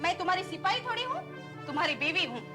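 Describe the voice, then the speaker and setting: A sharp-tongued, indignant wife, scolds and retorts in an extremely high voice. The acting is theatrical sitcom comedy with music underneath. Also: female voice, big pitch swings, fast. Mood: defiant. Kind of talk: broad Indian TV sitcom acting.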